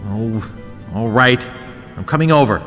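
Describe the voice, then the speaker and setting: An actor playing a wimpy, wisecracking young male pirate adventurer in a video game, wry, earnest and excitable. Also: mid-range voice, measured pace, soft background music. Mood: sceptical. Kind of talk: video game character acting. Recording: long cavernous reverb.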